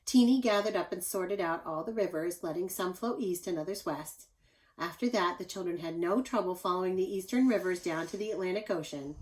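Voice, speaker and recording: A woman's voice: on the low side, a little fast, darkish tone, rough recording. A woman reading a children's story aloud expressively, like a teacher, with asides to young listeners. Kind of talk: expressive picture-book read-aloud.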